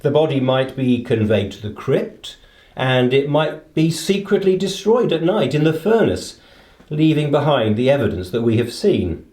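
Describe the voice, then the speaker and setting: A man playing a shrewd, commanding British detective in a radio play, speaking deliberately and with theatrical authority. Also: mid-range voice, darkish tone, reverberant, close to an everyday voice. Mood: musing, deductive. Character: shrewd, commanding male detective, coolly reasoning.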